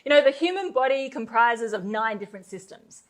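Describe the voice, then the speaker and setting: A woman co-presenting a sales pitch, gushing and enthusiastic, often laughing between phrases. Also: expressive, brisk.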